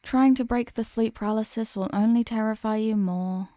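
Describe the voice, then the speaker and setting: A woman acts out a female sleep paralysis demon with a fairly high voice, recorded close and dry. The creature is a teasing one, by turns menacing and tenderly apologetic, speaking intimately as in an ASMR audio drama. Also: moderate pace, dark tone, no room sound, lightly acted. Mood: slow menace. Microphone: close microphone, dry.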